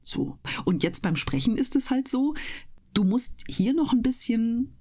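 A woman who works as a speaker, chatting casually and enthusiastically with trained, clear articulation. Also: fairly high pitch, very animated, unhurried, darkish tone. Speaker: host of an audio channel and interview guest, professional speaker. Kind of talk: casual interview chat.

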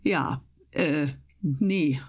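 A woman's voice: low-pitched, drawn-out words, husky. A woman chatting casually as an interview guest, explaining things thoughtfully in colloquial, youthful slang. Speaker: interview guest, voice actress and streamer. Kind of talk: casual radio interview chat.

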